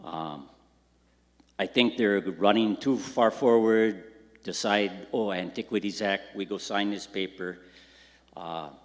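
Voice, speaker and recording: A man's voice: lowish voice, slow pace. An older man giving blunt, rambling, bitter public testimony at a community meeting. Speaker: public commenter. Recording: public hall with long reverb.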